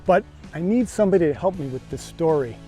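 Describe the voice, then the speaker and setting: Measured man narrating a lesson. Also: on the high side, soft background music. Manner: steady, explanatory, measured. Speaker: gardening show host. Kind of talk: scripted educational narration.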